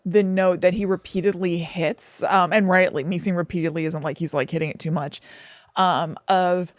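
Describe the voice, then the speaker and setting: A woman's voice: lowish voice, fairly even intonation, moderate pace, no echo, clean studio sound. A woman co-hosting a podcast, casually discussing film and thinking aloud as she qualifies her opinions. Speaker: podcast co-host.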